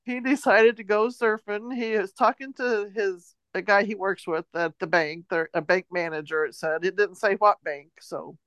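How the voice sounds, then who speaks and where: A woman's voice: medium pitch, fairly even intonation, normal speed, hardly any pauses, fairly bright tone, no echo. An American woman co-hosting a podcast, casually retelling news in a loose, meandering way. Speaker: podcast co-host.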